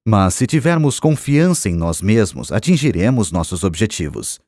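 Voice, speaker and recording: A man with a low voice and a Brazilian accent reads scripted audiobook narration. His intonation moves in a lively way, and the delivery stays measured and expressive. The sound is close and dry. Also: no echo.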